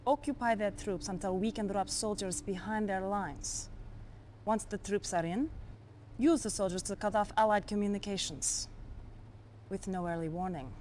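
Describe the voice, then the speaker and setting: A woman playing a cold, commanding Soviet intelligence officer in a game briefing, deliberate and controlled. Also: mid-range voice, fairly even intonation, darkish tone, slight background noise, close to an everyday voice. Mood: cool, scheming. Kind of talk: video game briefing acting.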